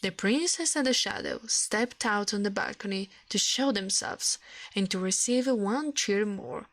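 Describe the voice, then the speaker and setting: A woman's voice: mid-range voice, dry recording. A woman with an Italian accent, a native Italian speaker, reading a story aloud in ASMR style. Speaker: livestream host, storyteller.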